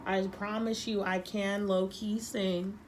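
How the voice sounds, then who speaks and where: Young female tarot reader, slightly hoarse, earnest and emphatic, light background hiss. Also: medium pitch, level tone, slow pace. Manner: earnest, emphatic, repeats herself. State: losing her voice, a little sick. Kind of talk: tarot reading monologue.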